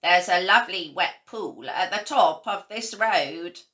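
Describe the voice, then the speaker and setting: A woman voicing a lumpy old helpful toad from a storybook, in an emphatic croak. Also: lowish voice, measured pace, fairly bright tone.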